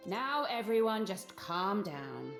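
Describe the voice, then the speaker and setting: A woman's voice: medium pitch, very animated, takes it slowly, creaky, full character voice. Swaggering game pirate captain, female: bold, mocking, drawling and theatrical, faint music underneath. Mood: swaggering, threatening. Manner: drawling, theatrical, archaic flourishes. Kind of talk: video game character acting.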